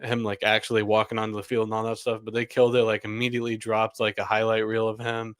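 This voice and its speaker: A man's voice: on the low side, completely flat delivery, fast talker, fairly bright tone, clean tone, dry recording, clean studio sound. A young man hosting a casual sports podcast, a little under the weather and rambling with lots of "like" and laughs. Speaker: podcast host.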